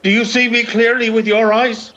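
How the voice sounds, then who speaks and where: A man's voice: very high voice, flat delivery, moderate pace, sharp tone, highs cut off. An actor playing a bitter old blind Irish beggar man in a radio play, declaiming in lilting dialect. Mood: anguished alarm. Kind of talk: theatrical radio play acting.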